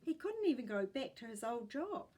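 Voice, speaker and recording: A woman with a New Zealand accent reading a children's story aloud in an expressive storytelling style. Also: fairly high pitch, normal speed, husky.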